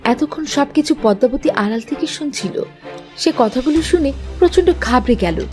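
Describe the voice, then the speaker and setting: A woman's voice: high voice, animated, moderate pace, darkish tone, soft background music. A woman narrating a dramatic fairy tale for a cartoon in a scripted storytelling style.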